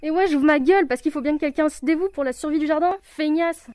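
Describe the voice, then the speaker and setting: A woman's voice: high voice, quick pace, a little mellow, no echo, lightly acted. A woman voicing an indignant, melodramatic tulip who complains coarsely in an audio drama. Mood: angry, defiant. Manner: outraged complaining, coarse colloquial speech. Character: indignant, melodramatic female tulip, feeling abandoned. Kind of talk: acted audio fiction.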